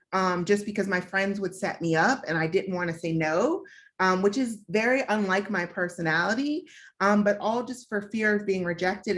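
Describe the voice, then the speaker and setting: Candid young American woman telling a story. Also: lowish voice. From United States. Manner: candid, reflective, with frequent "um" fillers. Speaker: panelist. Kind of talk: personal storytelling on a panel.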